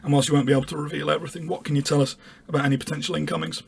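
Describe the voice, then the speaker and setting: A man's voice: a little high, little pitch movement, very fast, gravelly. A man working as an interviewer, putting prepared questions to a guest.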